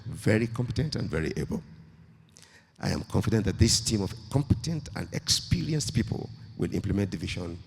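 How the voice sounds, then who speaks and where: A man giving a slow, measured formal speech at a launch event in a hall. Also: low-pitched, vocal fry, breathy edge. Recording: long hall reverb.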